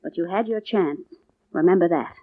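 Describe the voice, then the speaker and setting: An actress playing a defiant, scheming femme fatale in a 1950s radio crime drama. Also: female voice, fairly low pitch, normal speed, husky. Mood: accusing. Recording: dull vintage 1950s radio recording.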